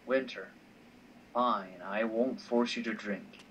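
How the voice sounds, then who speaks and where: A man playing a teasing, self-assured mentor in a visual novel, speaking in a measured, insistent, wryly patronising way. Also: fairly low pitch, steady tone, a bit bright. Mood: calm, persuasive. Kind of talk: amateur voice acting of a visual novel. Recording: distant mic with faint noise.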